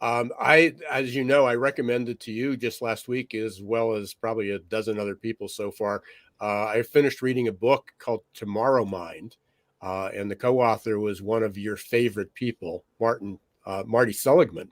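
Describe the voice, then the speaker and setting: Slightly raspy man, dry podcast mic. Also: fairly low pitch, fairly even intonation, moderate pace, no echo. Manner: loose, with frequent fillers and restarts. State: slightly raspy from smoky air. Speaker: podcast co-host. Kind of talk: conversational podcast monologue.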